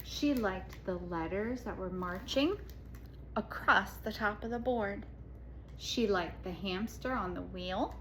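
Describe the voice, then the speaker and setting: Female storybook reader, bright and expressive, slow delivery, roomy sound with faint noise. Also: medium pitch. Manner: expressive, pausing to explain pictures. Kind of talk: children's picture book read-aloud. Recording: echoey room, faint background noise.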